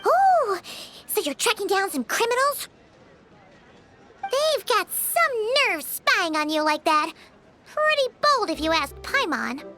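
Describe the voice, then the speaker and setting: A woman voicing a tiny, excitable, naive fairy girl in a video game, with a squeaky, childlike, chirpy voice. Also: takes it slowly, music in the background, exaggerated voice. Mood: startled, indignant. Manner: chirpy, speaks of herself in the third person. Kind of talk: cartoonish video game acting.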